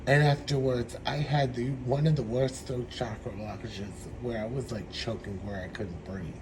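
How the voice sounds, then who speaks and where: A man with a hoarse, troubled throat giving a meandering, reflective spiritual tarot reading. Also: mid-range voice, steady tone, noisy background. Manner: meandering, reflective, slow-spoken. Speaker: tarot reader.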